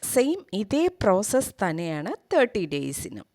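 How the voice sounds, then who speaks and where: A woman's voice: mid-range voice, big pitch swings, a little slow, darkish tone. A woman with a Malayali accent, hosting a tech tutorial and narrating scripted step-by-step instructions.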